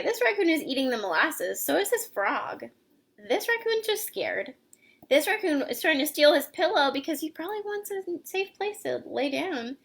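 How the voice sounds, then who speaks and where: A woman with a very bright, fairly high voice hosts story time for children. She reads expressively from a picture book and keeps stepping out of the text to chat and explain things simply to young listeners. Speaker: host and children's story reader. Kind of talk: animated picture-book read-aloud with casual asides for children.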